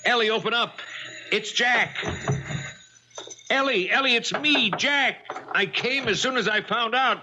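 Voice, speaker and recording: An actor with an American accent playing a frantic, stammering two-timing husband in a 1970s radio drama. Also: male voice, very high-pitched, unhurried, pauses often, noisy background. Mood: desperate, calling out. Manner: agitated, stammering.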